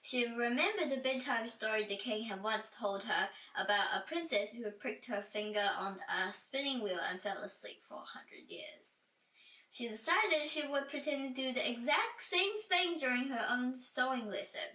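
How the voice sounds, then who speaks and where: A woman reading a children's storybook aloud in an animated, dramatic and expressive way. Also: fairly high pitch, normal speed. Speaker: storybook reader. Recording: roomy echo, distant from mic.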